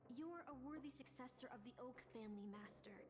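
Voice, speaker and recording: An actress playing a cool, skeptical female servant advising her master in a video game, measured and faintly admonishing. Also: on the high side, background noise, lightly acted. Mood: cool, cautioning. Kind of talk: scripted video game voice acting.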